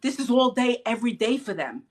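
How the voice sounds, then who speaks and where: A woman's voice: fairly high pitch, fairly quick. A woman guest in casual interview chat, telling her story with lots of laughs and acted-out quotes. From Puerto Rican heritage. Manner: animated storyteller, acts out quotes, laughs often. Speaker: podcast guest.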